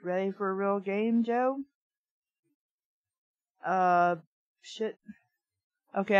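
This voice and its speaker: A woman's voice: a little high, dry recording, dull audio. A woman reading a story aloud, breaking in with blunt, sarcastic, casual asides. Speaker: audiobook reader and commentator.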